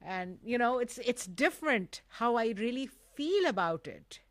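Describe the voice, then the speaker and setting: A woman's voice: on the high side, big pitch swings, measured pace, no room sound, clean studio sound. A woman whose first language is Urdu, hosting a casual live talk show with an effusive, emphatic manner that repeats phrases for emphasis.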